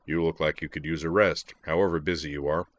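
A man voicing a big, affable young male student who speaks in a low, easygoing rumble. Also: fairly even intonation, fairly quick, no room sound. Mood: affable, concerned.

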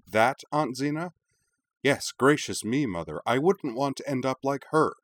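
A man's voice: low voice, animated, normal speed, no room sound. A man voicing an exasperated young woman in an audiobook, clipped, firm and dryly sarcastic. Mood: indignant. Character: young woman, sharp-tongued, exasperated with her mother. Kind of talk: audiobook character voice.

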